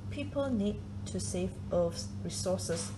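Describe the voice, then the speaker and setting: Woman, lowish voice, children's book read-aloud, deliberate phrasing, noisy homemade recording. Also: expressive.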